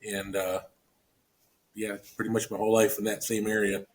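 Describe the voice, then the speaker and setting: Soft-spoken man, off-mic. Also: on the low side, flat delivery, normal speed. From Holland, Michigan area. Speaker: guest, band drummer. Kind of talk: casual interview chat.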